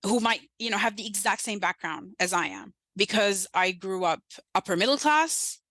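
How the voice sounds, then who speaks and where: A woman's voice: mid-range voice, expressive, normal speed, a bit bright, dry recording. A woman from Sudan, probably in her thirties, with a Sudanese accent tinged with British. She speaks as a guest in a podcast conversation, earnestly and emphatically, and often checks in with "right?". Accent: Sudanese, with a light British lilt.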